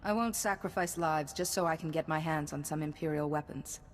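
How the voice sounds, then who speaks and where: A woman's voice: deep voice, brisk, long reverb tail, a little hiss or hum, close to an everyday voice. An actress playing a principled female Jedi in a video game, earnest and firm. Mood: resolute. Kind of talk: game voice acting.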